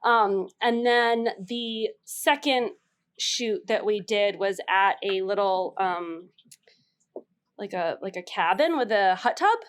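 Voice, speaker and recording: A woman telling a casual story as a contestant on a panel game, halting and giggly. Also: medium pitch.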